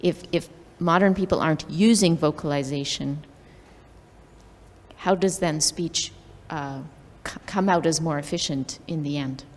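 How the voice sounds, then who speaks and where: A woman putting a question at an academic discussion, speaking deliberately and pausing between phrases. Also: sits low, a little mellow. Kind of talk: conference question and commentary. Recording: echoey hall with faint background noise.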